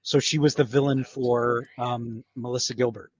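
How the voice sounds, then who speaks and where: A man's voice: mid-range voice, steady tone, moderate pace, darkish tone, husky, no echo. A man in a casual podcast chat as an interview guest, talking in a chatty way, trailing off and rephrasing with frequent "like". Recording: slightly dull, dry close recording.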